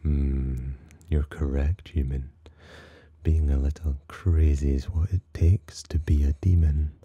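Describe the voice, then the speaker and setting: Casting: male actor as condescending demon for ASMR roleplay, extremely low and husky, menacing yet teasing. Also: level tone, slow pace, no echo, muffled recording, exaggerated voice. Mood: teasing, amused. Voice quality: very deep, dark, husky. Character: haughty, teasing male demon, disdainful of humans, possessively protective. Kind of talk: ASMR roleplay, intimate scripted monologue.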